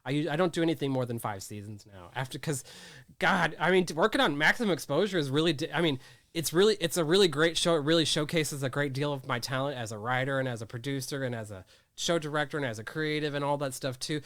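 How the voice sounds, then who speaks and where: A man's voice: on the high side, fast, a bit bright, no room sound. A man in his thirties chatting casually in an interview, rambling and restarting often. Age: 30s. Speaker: magazine editor and producer.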